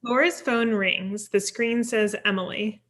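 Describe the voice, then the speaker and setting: A woman reads stage directions as scripted narration. Her voice is bright and clearly forward, sitting at an average female pitch. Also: steady tone, a little slow. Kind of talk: scripted narration at a table read.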